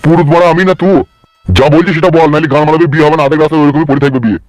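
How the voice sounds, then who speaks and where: A man's voice: a little high, fairly even intonation, very fast, dry recording, lightly acted. A man voicing a crabby, money-grubbing old wedding priest in a comic cartoon, scolding and crude. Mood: bossy, haggling.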